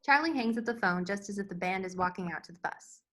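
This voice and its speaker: A woman's voice: mid-range voice, expressive, quick pace, pushed and bright. A woman reading screenplay stage directions as scripted narration at a table read.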